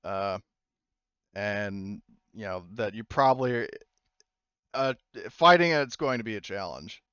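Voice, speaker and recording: A man casually narrating a tabletop roleplaying game as game master, improvising and thinking aloud in a halting way. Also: lowish voice, lively intonation, fairly bright tone, smooth, dry recording, highs cut off.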